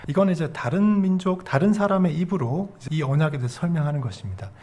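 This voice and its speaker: Middle-aged dialect-speaking male pastor, slightly high husky voice, teaching-style sermon with questions to the congregation. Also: fast, darkish tone. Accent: Korean regional dialect. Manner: explains verses step by step, asks the congregation questions. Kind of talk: expository sermon.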